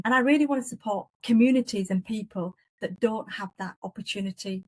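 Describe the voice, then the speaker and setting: A woman's voice: medium pitch, darkish tone, no echo. A middle-aged woman with a broad Yorkshire accent, giving earnest, emphatic interview answers in short listed phrases. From England. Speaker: guest, business leader.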